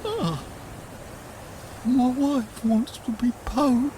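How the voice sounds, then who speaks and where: A man's voice: very high voice, extremely slow, dark tone, a little hiss or hum, exaggerated voice. An actor playing a meek, reluctant, henpecked fisherman in a fairy tale audio drama, hesitant and pleading.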